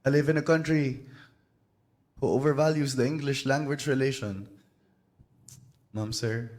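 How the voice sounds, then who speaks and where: Slow, deliberate Filipino man reciting poetry. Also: mid-range voice, fairly even intonation, darkish tone. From Philippines. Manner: slow, deliberate delivery with pauses. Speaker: spoken word poet. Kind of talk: spoken word poetry performance. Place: small cafe, live event.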